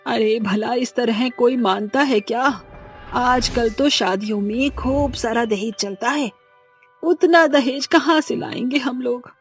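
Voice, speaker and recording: A woman voicing an anxious, poor middle-aged mother with a husky voice. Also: fairly high pitch, unhurried, soft background music. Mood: worried. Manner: anxious, fretting.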